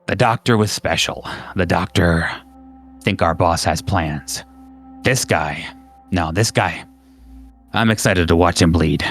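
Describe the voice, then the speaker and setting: A man voicing a cold agent team commander in a tabletop horror game, measured, profane and relishing violence. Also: low-pitched, faint music behind, voice pushed down, full character voice. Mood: commanding, sinister.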